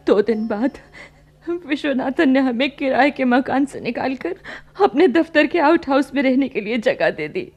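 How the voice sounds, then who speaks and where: A woman's voice: high-pitched, lively intonation, moderate pace, faint music behind. An actress playing an anguished widowed housewife and mother in a radio play, with a pleading, melodramatic delivery. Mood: sorrowful recounting. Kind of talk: Hindi radio play acting.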